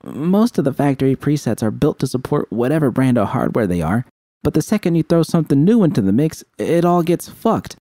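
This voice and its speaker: A man's voice: on the high side, very animated, fairly quick, dark tone, no echo, pitched above the performer's own voice. A man voicing a geeky, playful, sardonic young woman in audiobook dialogue. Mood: teasing, geeky. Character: techy, teasing young woman, sardonic and warm-hearted.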